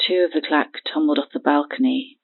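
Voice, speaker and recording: An actress playing a frightened, paranoid woman recounting a horror in halting speech over a phone in an audio drama. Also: deep voice, vocal fry, breathy edge, full character voice. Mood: numb horror. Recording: thin, phone-like band-limited sound.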